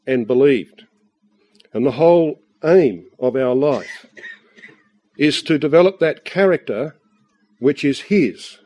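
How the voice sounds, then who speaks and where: A man with a husky, congested voice from the flu, giving an earnest, measured Bible study talk with frequent fillers. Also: medium pitch, mellow. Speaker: visiting Bible study speaker.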